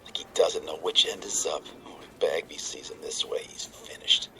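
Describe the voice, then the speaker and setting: A very low, hissing schemer. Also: male voice, moderate pace, creaky, sharp tone, heavily acted. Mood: gleeful, scornful. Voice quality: hissed whisper. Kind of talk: radio drama acting.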